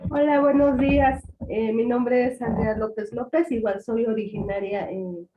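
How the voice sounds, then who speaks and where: A woman's voice: a little high, fairly even intonation, normal speed, narrow, tinny audio, noisy background. A woman whose first language is Tzotzil, giving a polite, hesitant introduction as an interpreter. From Chiapas, Mexico. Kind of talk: brief self-introduction.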